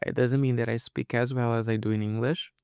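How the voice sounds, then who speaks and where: Casual male podcast host with creaky, clear voice. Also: medium pitch, fairly even intonation, a little fast, darkish tone, no room sound, studio quality. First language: Portuguese. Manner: informal, explanatory, often checking in with "you know" and "okay". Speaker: podcast host and English teacher. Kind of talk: casual podcast monologue to listeners.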